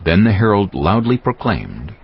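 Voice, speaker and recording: Deep-voiced, animated male scripture narrator. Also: normal speed, husky. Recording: dull band-limited audio, faint music.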